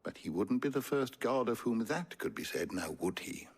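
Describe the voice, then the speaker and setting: Game role: elderly male scholar, husky and creaky, courteous, wry, formal and measured. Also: mid-range voice, expressive. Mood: wry, regretful. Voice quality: gravelly, husky. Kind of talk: dramatic video game acting.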